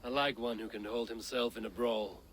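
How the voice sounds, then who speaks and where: A male actor playing a calm, bold Viking clan leader in a video game, speaking formally and plainly. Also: medium pitch, slight background noise, natural voice. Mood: admiring, direct. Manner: formal, measured, plain-spoken. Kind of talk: video game dramatic dialogue.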